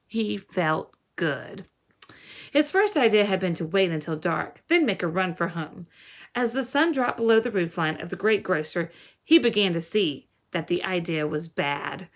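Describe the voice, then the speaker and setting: Expressive woman reading a children's novel. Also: mid-range voice. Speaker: audiobook reader. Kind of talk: dramatic read-aloud of a children's novel.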